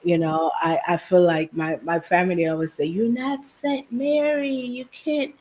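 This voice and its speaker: A woman from Haiti raised in New Jersey, a business owner telling her story softly as a guest on a video call. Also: on the low side, measured pace. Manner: soft-spoken storytelling with laughs, quiet. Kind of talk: casual interview chat.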